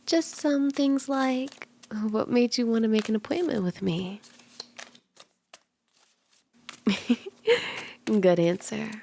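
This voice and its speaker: A woman voicing a flirty, soothing kitsune fortune teller in an ASMR roleplay, speaking gently and coaxingly, at times in breathy whispers. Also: a little high, a little slow, a little hiss or hum. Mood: flirty, teasing. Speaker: tarot reader.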